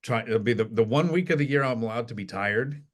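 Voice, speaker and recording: A man's voice: mid-range voice, fairly quick. A retired man chatting casually as a podcast guest, rambling and restarting his sentences often. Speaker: podcast guest, retired real estate investor.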